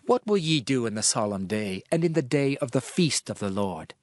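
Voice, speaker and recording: A man's voice: medium pitch, sing-song, normal speed, no echo, clean studio sound, lightly acted. A man playing a stern prophet who speaks for an angry God, declaiming scripture solemnly in a dramatized reading. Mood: grave, condemning.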